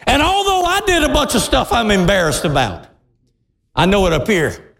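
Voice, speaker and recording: High-voiced impassioned preaching man. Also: huge pitch swings, moderate pace, creaky. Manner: impassioned, shouting preaching with laughs. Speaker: pastor. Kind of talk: sermon. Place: church sanctuary. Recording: long hall reverb.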